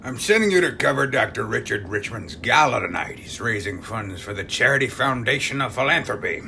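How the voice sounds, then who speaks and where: Radio-play boss, male actor: callous, brisk, bright punchy delivery over a faint rumble. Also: expressive, gravelly. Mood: callous, jovial. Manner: brisk, blustering, offhand. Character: brash, callous newspaper boss, a blustering man. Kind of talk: old-time radio play acting.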